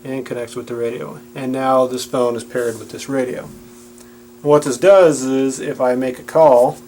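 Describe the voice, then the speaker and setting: Mellow man demonstrating a product. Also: mid-range voice, fairly even intonation, unhurried, poor audio. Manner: plain-spoken, instructional. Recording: audible background noise with hum.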